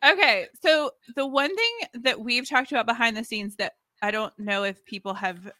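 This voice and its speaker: Woman cohost, kinda high bright voice, excited and chatty, dry close sound. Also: normal speed, dry recording. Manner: chatty, excitable, stumbles over words. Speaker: podcast co-host. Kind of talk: casual podcast chat.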